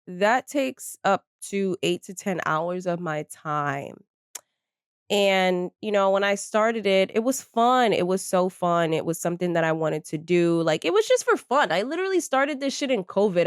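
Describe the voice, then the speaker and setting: A woman's voice: mid-range voice, clean tone, dry recording, clean studio sound. A woman of thirty talking candidly and casually to her viewers from her bedroom, chatty and swearing freely into a desk microphone. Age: 30s. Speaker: channel host. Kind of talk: casual vlog talk to viewers.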